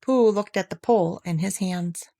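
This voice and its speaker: A woman reading a children's storybook aloud, telling it gently and with life. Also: medium pitch, normal speed, gravelly. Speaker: narrator reading a children's book.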